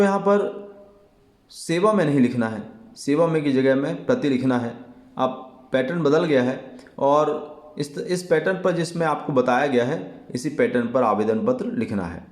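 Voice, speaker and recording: A man teaching an exam lesson in a deliberate, explanatory way, repeating key phrases as he goes. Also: mid-range voice, darkish tone. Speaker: Hindi teacher. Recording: echoey, reverberant room.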